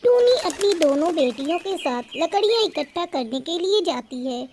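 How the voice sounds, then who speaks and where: A woman's voice: very high-pitched, expressive, normal speed, background noise. A woman narrating a scripted children's story as a storyteller.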